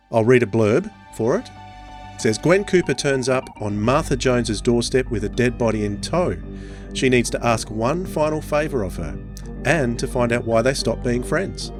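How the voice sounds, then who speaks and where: A man's voice: mid-range voice, lively intonation, unhurried, faint music behind. A man hosting a casual podcast chat, speaking close to the microphone. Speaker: podcast host.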